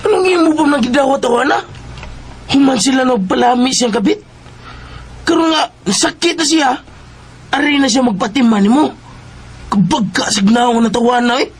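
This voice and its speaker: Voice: high voice, moderate pace, pauses often, husky. An actor playing a bitter, defiant son in a radio drama, accusing and indignant, with a Filipino accent. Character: bitter, defiant grown son of a wronged mother.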